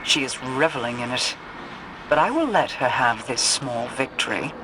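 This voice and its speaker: A woman's voice: extremely low pitch, lively intonation, moderate pace, background noise. An actress playing a proud, brooding, mystical queen in a video game cutscene, with a solemn and portentous delivery. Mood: cold, proud.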